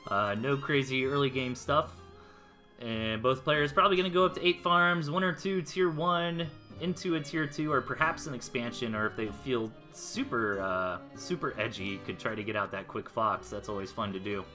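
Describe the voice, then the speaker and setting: An American man gives casual live commentary on a game. His voice is very bright and forceful at a medium pitch, and he explains plays in loose, slangy, conversational language. Guitar music plays behind him. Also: normal speed. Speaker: esports replay caster.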